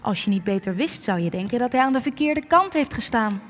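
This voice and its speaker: Woman acting a cynical, high-voiced researcher in reverb. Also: expressive, brisk, lightly acted. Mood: taunting. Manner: sardonic, wordy, provocative. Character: sharp, cynical, needling female researcher. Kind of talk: Dutch radio play acting. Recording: long reverb, music underneath.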